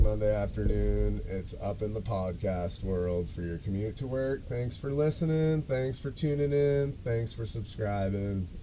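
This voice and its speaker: A man's voice: fairly low pitch, mellow, slight background noise, low-quality recording. A man hosting a podcast, telling a story in a casual, rambling, self-deprecating way with loose swearing. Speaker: podcast and show host.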